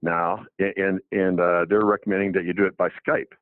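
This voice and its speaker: A man's voice: sits low, level tone, a little fast, no echo. A man in his seventies, a doctor, talking casually on the radio with many restarts and fillers. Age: 70s. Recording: phone-like dry narrow line.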